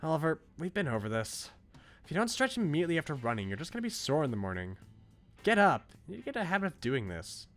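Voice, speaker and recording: A man's voice: fairly high pitch, huge pitch swings, fast, a bit bright, pitched above the performer's own voice, heavily acted. A man voicing a bossy, brash young female workout buddy from a visual novel, scolding and emphatic. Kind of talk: visual novel character read-aloud. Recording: game music behind the voice.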